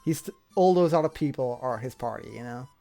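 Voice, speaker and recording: A man's voice: mid-range voice, expressive, a little fast, dark tone, soft background music. A man chatting casually as an interviewer, explaining things in a rambling way and often repeating himself. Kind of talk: casual chat.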